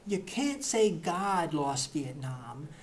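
A middle-aged man reading satirical excerpts to camera in a deadpan, mock-earnest way, in a plain indoor room. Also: a little high, slow, slight background noise. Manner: mock-earnest, punchy, with gestures. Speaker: reader of satirical book excerpts.

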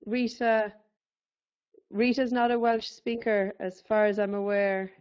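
A woman chatting in an online meeting as a project facilitator, hesitant and unhurried. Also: mid-range voice, steady tone, darkish tone, clear, resonant tone. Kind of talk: meeting chat. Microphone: video call. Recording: dull lo-fi call audio.

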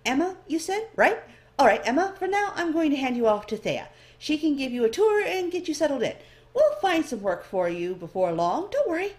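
A woman voicing a chatty, flustered male elf librarian in a fantasy story, hurried and slightly impatient. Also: high-pitched, very animated, a little mellow, voice pushed up. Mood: breezy.

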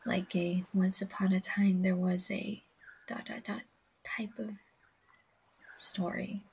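A woman whispering a casual, rambling ASMR-style audio log, softly confiding in her listeners with a breathy voice. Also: mid-range voice, poor audio. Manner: soft, confiding, addressing listeners directly.

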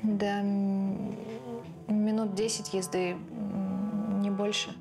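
A young woman playing a frightened student who answers an investigator quietly and hesitantly. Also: medium pitch, extremely slow, gravelly. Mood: subdued. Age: young adult. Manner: quiet, flat, hesitant replies. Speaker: student witness. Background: background music.

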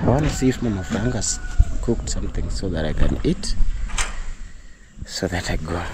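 A man's voice: low voice, background noise. A young Kenyan man with a Kenyan accent, chatting casually to his viewers in a home vlog, speaking English as a second language and sometimes slipping into prayerful encouragement. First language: not English. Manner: chatty, preachy, addresses viewers as guys. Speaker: vlogger. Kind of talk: casual vlog talk to camera. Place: outdoors at a rural home.

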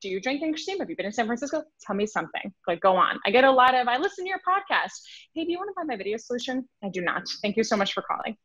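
Animated woman on a dry video call. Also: fairly high pitch, no echo, highs cut off. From Switzerland. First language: French. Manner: animated, quick, gestures with hands, acts out emails. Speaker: sales consultant guest. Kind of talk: casual podcast interview. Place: home room on video call.

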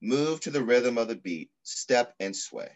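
A middle-aged man reading aloud in the style of a children's picture book, with measured, deliberate phrasing. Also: mid-range voice, expressive. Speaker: pediatrician reading a story aloud. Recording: muffled video call audio.

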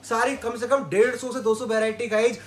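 A man in his thirties giving a brisk sales pitch in a crowded indoor toy shop, reeling off prices while showing products to a nearby phone camera. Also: little pitch movement, slight background noise. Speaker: wholesale shop seller.

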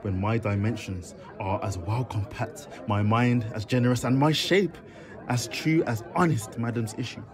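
An actor playing a defiant, resentful bastard son, declaiming indignantly in a Jacobean audio drama. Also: male voice, medium pitch, normal speed, natural voice. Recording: busy crowd chatter behind.

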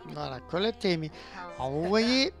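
A man's voice: fairly high pitch, sing-song, moderate pace, creaky. A man giving casual, joking commentary on his game, muttering and swearing at the characters. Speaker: gaming youtuber. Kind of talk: casual let's play commentary. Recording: voice over game audio and music.

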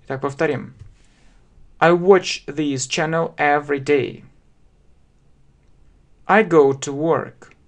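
Very slow Russian-accented male teacher. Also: a little high. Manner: very slow, spells out phrases word by word and repeats them. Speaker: English teacher. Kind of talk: slow step-by-step language lesson. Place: small room indoors.

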